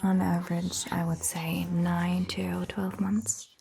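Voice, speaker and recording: A woman playing a gentle, reassuring vampire doctor in a whispered, breathy ASMR roleplay, soothing and patient. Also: fairly low pitch, flat delivery, a little hiss or hum, heavily acted. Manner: slow, soothing, patient explaining.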